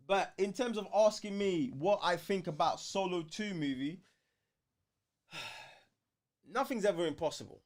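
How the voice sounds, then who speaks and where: London guy chatting on a fan stream, highish voice goes up and down loads, slangy n emphatic. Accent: London, British. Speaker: co-host of a film fan livestream. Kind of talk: casual fan debate chat.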